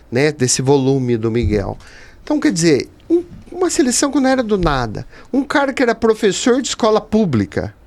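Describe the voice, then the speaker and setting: A man's voice: a little high, a little mellow. A Brazilian man in his fifties answering conversationally as a podcast guest, explaining things emphatically with rhetorical asides. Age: 50s. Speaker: podcast guest, teacher and writer.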